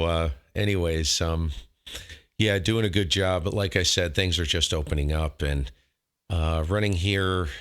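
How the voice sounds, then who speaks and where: A man with a low-pitched voice talks as a ham radio operator hosting his own contacts. He is casual and folksy, rambling along in a self-deprecating way. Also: a bit bright.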